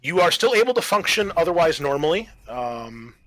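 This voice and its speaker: A man's voice: on the high side, big pitch swings, normal speed, a bit bright, low-quality recording. A man acting as game master, methodically explaining tabletop game rules to his players. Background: music.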